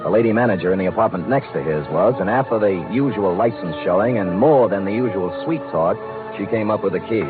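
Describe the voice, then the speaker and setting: An actor with an American accent playing a wry, hardboiled private detective in the deadpan, streetwise style of 1950s radio drama. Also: male voice, fairly low pitch, a little fast, natural voice. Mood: wry narration. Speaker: lead actor and narrator of a detective series. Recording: tinny old radio broadcast, music behind.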